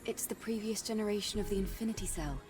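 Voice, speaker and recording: A woman's voice: medium pitch, fast talker, lightly acted. An actor playing a curious young female soldier in a sci-fi video game. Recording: distant game audio under loud music.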